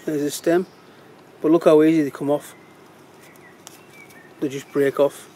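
A man's voice: on the high side, flat delivery, measured pace, warm tone, slight background noise. A middle-aged man talking casually outdoors in woodland, pointing things out as he digs, filmed on a handheld phone. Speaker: forager. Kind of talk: casual walk-and-talk foraging demo.

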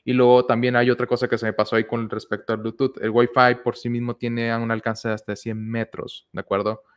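A young man talks through a technical topic for an online meetup audience. His delivery is casual and halting, full of fillers and self-corrections, and his voice sits in an ordinary middle pitch. He speaks into a headset microphone in a small indoor room. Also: little pitch movement, clear, resonant tone. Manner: hesitant, many fillers and restarts. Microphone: headset with boom mic.

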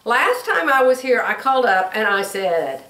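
An older woman with a drawl, hoarse, telling stories and chatting casually to camera as a sewing vlogger. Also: fairly high pitch, big pitch swings, normal speed, a bit bright, recorded from a distance. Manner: chatty storytelling, acts out quoted voices. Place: bedroom sewing room, indoors. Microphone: camera across the room. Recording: roomy, from off mic.

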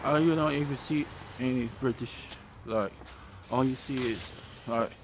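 A man vlogs as he walks down a street, talking slowly in short, halting bits. His voice sits at an average pitch, and the delivery is casual and unscripted. Faint traffic noise runs behind him outdoors. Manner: halting, speaks in short fragments. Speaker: vlogger giving a walking tour. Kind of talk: casual, unscripted walking commentary. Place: outdoors walking along a residential street.

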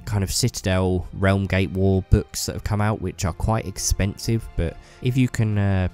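Deep-voiced man with a stuffy cold. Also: steady tone, normal speed, a little mellow, faint music behind. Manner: enthusiastic, rambling with fillers. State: bunged up with a cold. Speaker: YouTuber. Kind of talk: casual hobby vlog talk.

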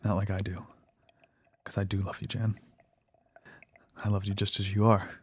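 A man's voice: lowish voice, flat delivery, moderate pace, slightly rough. A man playing a nervous, lovesick lab assistant in an audio drama, hesitant and fervent, with a tendency to stammer. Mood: tender.

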